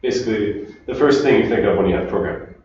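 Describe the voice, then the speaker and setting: A man from Finland giving a relaxed technical talk to a meetup audience, chatting with the crowd. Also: lowish voice, fast talker, a little hiss or hum. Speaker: conference speaker. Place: large echoey meetup room. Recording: distant, roomy, dull room recording.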